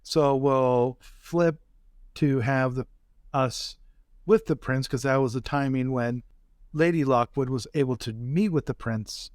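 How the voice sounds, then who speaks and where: A man playing a tabletop roleplaying game, casually narrating his action in a halting, deliberate way. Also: medium pitch, a little mellow, no room sound, clean studio sound.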